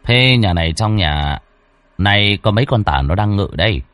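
A man voicing a calm ritual master who settles the spirit and questions it in a brisk, matter-of-fact way. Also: fairly low pitch, dry recording.

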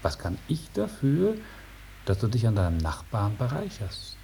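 An actor playing an aloof old male dragon in a 1950s German radio drama, speaking in a drawn-out, unhurried and repetitive way. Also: lowish voice, wildly swooping pitch, creaky, dark tone, exaggerated voice. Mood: mocking refusal. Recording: noisy 1950s radio recording.

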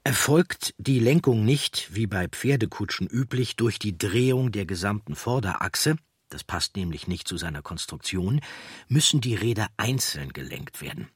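Husky, low-pitched male documentary narrator. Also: lively intonation, normal speed, no echo, studio quality.

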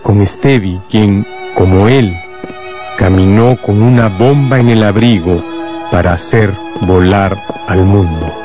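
A man's voice: on the low side, slow, lo-fi sound. A man narrating a literary radio drama from a script. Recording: faint music behind the voice.

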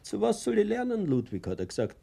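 A man's voice: sits high, huge pitch swings, fast, creaky, dark tone, slightly rough, exaggerated voice. An actor playing a naive, chatty older Bavarian man who boasts to a child in a radio play, rambling and confiding. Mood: fond, amused. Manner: rambling, chuckling, confiding storyteller.

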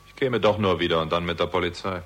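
A man's voice: sits low, steady tone, quick pace, fairly bright tone. A man playing a dogged, dry-witted investigator in a German radio crime drama, questioning in short, probing phrases. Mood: probing, insistent.

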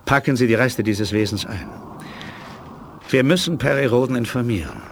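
Resolute officer, curt orders, medium-pitched voice, radio drama, clearly noisy backdrop. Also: male voice, expressive, moderate pace. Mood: grave, decisive. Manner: curt, commanding. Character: brisk, resolute military officer in command. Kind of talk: 1980s German radio play acting.

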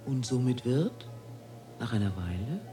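An actor playing a grave, aloof alien philosopher in a German radio drama, making solemn pronouncements. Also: male voice, mid-range voice, expressive, measured pace, music in the background, close to an everyday voice.

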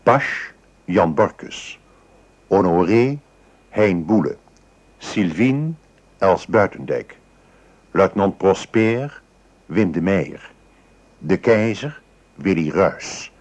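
A male announcer with a very low voice reads scripted radio credits. His delivery is slow, formal and measured. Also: expressive.

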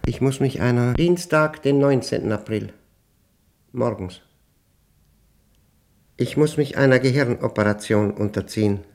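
An actor in a German radio play, playing a troubled, methodical professor who dictates a diary in deliberate fragments. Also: male voice, mid-range voice, darkish tone, natural voice. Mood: grave, clipped. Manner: deliberate, fragmented phrasing, precise, pedantic. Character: troubled methodical male professor recording his nightmares as a diary.

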